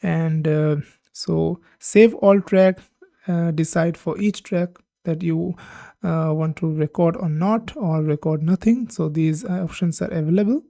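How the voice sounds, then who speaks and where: A woman hosting a tech channel, talking through a software demo on screen, with many "uh" pauses and simple phrasing. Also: on the low side, vocal fry, no echo, clean studio sound. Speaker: tech tutorial host. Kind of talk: screen-recorded software walkthrough.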